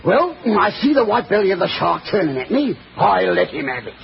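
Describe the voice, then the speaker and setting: An actor playing a garrulous, boastful old sailor with a gravelly voice, in 1940s radio style. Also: male voice, high-pitched, sing-song, fairly quick, full character voice. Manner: rambling tall-tale yarn spinning. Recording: muffled, noisy old radio recording.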